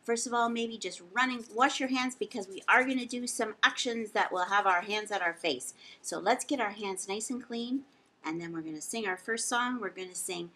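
A woman in her fifties hosting a storytime for young children as a librarian, reading aloud in an exaggerated, expressive way. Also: medium pitch, normal speed. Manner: animated, exaggerated, with audible gasps and hushed whispers. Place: indoor lounge, seated on a couch.

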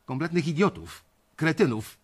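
An actor playing an anxious young banker who narrates his own story in a confiding, measured, dramatic way in an audio drama. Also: a little high, lively intonation, breathy edge. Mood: agitated, defiant. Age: young adult.

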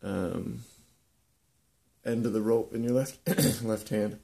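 A young man casually demonstrating how to lash boat joints, talking in a relaxed, halting way with many ums and uhs. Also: on the low side, vocal fry. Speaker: woodworking instructor. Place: indoor workshop.

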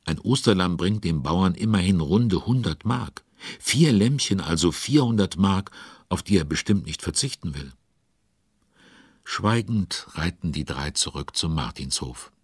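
A deep-voiced avuncular narrator of a children's radio play. His voice is husky and recorded very dry, and he tells the tale in a chatty, involved way. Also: expressive, a little slow, no echo, full character voice. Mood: involved, concerned. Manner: chatty, involved storyteller commenting on events. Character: avuncular, concerned male storyteller of a children's tale.

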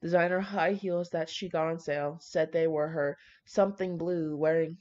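A woman's voice: sits low, steady tone, moderate pace, darkish tone. Brash young woman, muffled lo-fi recording. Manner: brash, slangy, profane. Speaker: fan fiction reader. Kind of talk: reading fan fiction aloud with casual commentary.

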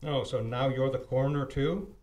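A man acts out a cocksure homicide detective from a 1950 radio mystery. He has a mid-pitched voice and a clipped, smug, wisecracking way of talking. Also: fairly even intonation, normal speed, darkish tone, lightly acted. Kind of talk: old-time radio acting. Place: theatre stage, live performance. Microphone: stand mic on stage.

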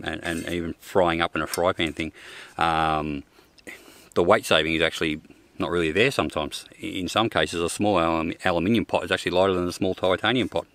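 An older Australian man reviewing camping cookware outdoors in the bush, talking plainly with frequent ums and restarts. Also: deep voice. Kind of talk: casual gear review vlog. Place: outdoors in open bushland.

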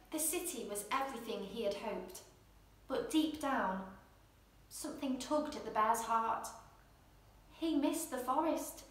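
A young woman presenting and reading a children's storybook in an expressive, gentle bedtime style. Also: a little high, noisy background. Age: young adult. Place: indoor room at home, seated in armchair. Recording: very echoey room.

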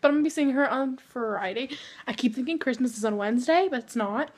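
A woman's voice: high voice, fast. A sixteen-year-old girl chatting casually into her phone camera for a vlog, giggly and slangy with lots of fillers. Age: teenager, 16. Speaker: vlogger. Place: outdoors in a cold yard, then a restaurant, then a bedroom. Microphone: phone or webcam held close.

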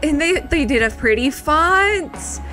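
A woman giving casual, gushing reaction commentary, rambling excitedly and peppering it with "like". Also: high voice, slow, soft background music. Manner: excitable, rambling, heavy use of "like". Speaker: video creator reacting to a game trailer.

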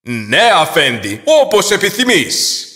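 A man voicing a huge, jolly genie who grandly serves his master in an animated fairy tale. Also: fairly high pitch, in a large hall, full character voice. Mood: obedient. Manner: grand, servile. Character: huge booming male genie, jolly obedient servant of the lamp.

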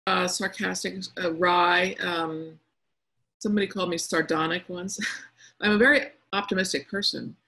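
A middle-aged woman with a quiet, soft-spoken manner, speaking over a video conference call. Her voice has a gravelly edge and a husky grain. She talks casually and thoughtfully, pausing often to gather her words. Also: lowish voice, steady tone. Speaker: cartoonist speaking to young listeners. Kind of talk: casual reflective talk answering questions.

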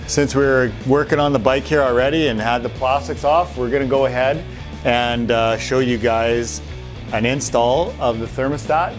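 A middle-aged man in a workshop, casually walking viewers through a motorbike part install, plain-spoken with a few fillers. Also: medium pitch. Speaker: parts company host demonstrating an install. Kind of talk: casual how-to walkthrough.

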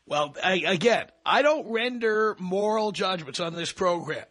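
A man's voice: high-pitched, lively intonation, measured pace, fairly bright tone. A man hosting a call-in radio show, blunt and pragmatic with a dry, joking manner. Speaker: radio talk show host.

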